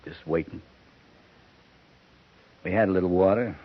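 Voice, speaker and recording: Low-voiced, terse, bitter cavalry captain, old muffled radio recording. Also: male voice, unhurried. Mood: brooding. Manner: terse, clipped, brooding. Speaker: army captain. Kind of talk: 1950s radio drama acting. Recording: muffled 1950s radio broadcast.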